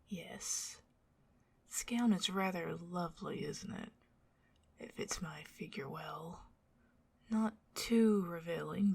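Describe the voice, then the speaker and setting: A woman voicing a doting, wry, centuries-old female vampire in a whispered ASMR roleplay, breathy and formal in her old-fashioned phrasing. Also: fairly low pitch, a little mellow, full character voice. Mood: coy, fond.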